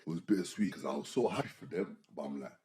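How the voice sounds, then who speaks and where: A man, co-hosting a casual podcast chat, animated, often saying "bro" and "like" and restarting his sentences. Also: deep voice, fast talker, a crowd in the background. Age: 20s. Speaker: podcast co-host.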